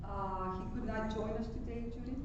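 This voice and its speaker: A woman, an association president giving a chatty, rambling speech with a loud voice. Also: a little high, fairly even intonation, normal speed. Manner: chatty, rambling, many fillers. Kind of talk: speech at a meeting. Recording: distant, roomy, muffled with room noise.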